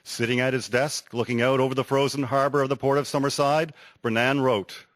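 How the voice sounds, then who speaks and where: A man's voice: medium pitch, fairly even intonation, brisk, a bit bright. A man narrating a scripted historical radio drama.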